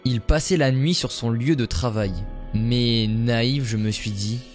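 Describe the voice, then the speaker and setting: A man narrating paranormal stories in a steady, measured storytelling voice. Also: medium pitch, soft background music. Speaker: YouTuber.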